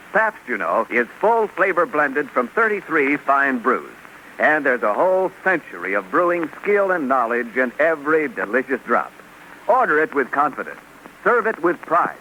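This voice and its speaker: A man announcing on 1940s radio in a salesman-like pitch. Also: sing-song, normal speed, bright, forceful tone, rough recording. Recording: old radio broadcast with hiss.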